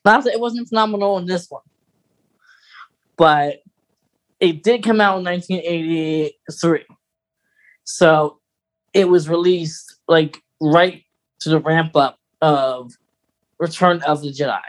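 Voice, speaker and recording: Slow-talking man in casual chat. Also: on the high side, poor audio. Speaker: podcast co-host.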